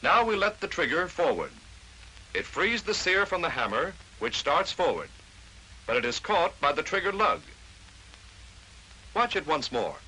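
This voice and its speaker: A man's voice: fairly high pitch, very animated, unhurried, long pauses, pushed and bright, a little hiss or hum, rough recording. An American man narrating a scripted 1940s instructional film, explaining step by step to the viewer on an old film soundtrack. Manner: clear step-by-step explanatory delivery, addressing the viewer. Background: voice-over on a training film.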